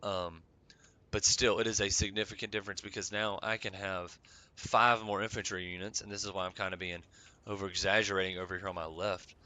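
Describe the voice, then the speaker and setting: A young man with a slightly raspy voice, rambling casually with many ums while commenting on a strategy game. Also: sits low, flat delivery, a little fast, pushed and bright. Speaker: gaming channel host.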